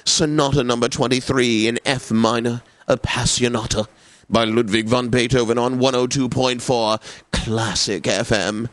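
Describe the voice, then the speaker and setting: A man's voice: mid-range voice, fairly even intonation, normal speed, no room sound, lightly acted. An actor playing a pompous, suave and lecherous male classical radio DJ in a comedic video game, announcing with theatrical relish. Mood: theatrical, smug. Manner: pompous, theatrical, savouring words.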